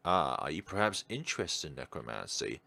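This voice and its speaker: Man voicing a deep, formal necromancer. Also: sing-song, fairly quick, gravelly, bright, forceful tone, full character voice. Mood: reserved, fond. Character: calm, apologetic, mysterious male necromancer. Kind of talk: read-aloud visual novel dialogue. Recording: background music under voice.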